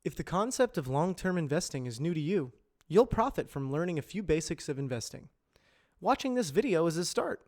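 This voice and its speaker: A man reading scripted educational and corporate narration in a measured, clearly enunciated explainer delivery, as an amateur voice actor. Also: on the high side.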